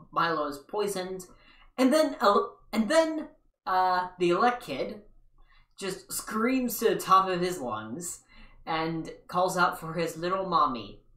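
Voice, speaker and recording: A man's voice: high-pitched, bright, forceful tone. A young man giving a rambling, casual recap commentary from an armchair in a small living room, with sarcastic asides. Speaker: video commentator. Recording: echoey room, mic far off.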